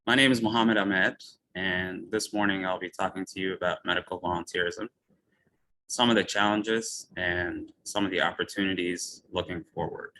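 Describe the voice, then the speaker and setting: A man from Ethiopia, a physician, giving a lecture and framing it with a personal story in a measured way. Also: sits low, fairly even intonation, pushed and bright, poor audio. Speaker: physician speaker. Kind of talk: lecture talk.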